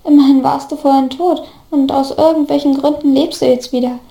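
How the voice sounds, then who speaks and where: A woman voicing a devoted, earnest young man in storybook dialogue, gently reassuring his best friend. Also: high voice, steady tone, a little fast, creaky, reverberant. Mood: reassuring, determined.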